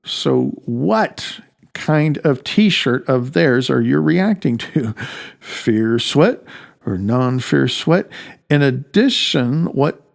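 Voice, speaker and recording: A man's voice: a little high, creaky, darkish tone. A man narrating his own audiobook as its author, in a conversational way with wry, sardonic asides.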